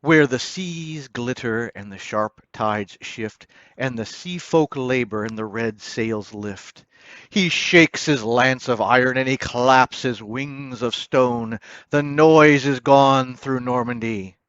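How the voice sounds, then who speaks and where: A man recites poetry slowly and solemnly, with theatrical, declamatory weight. His pitch is mid-range for a man. The recording is dry and somewhat dull, lacking top-end detail. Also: a bit bright, no room sound. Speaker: poetry reader.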